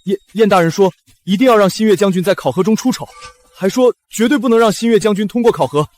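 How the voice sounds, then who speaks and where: An actor playing a frightened, lowly official in a costume drama, anxious and pleading. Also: male voice, quick pace, darkish tone, soft background music, close to an everyday voice. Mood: nervous. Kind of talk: costume short-drama acting.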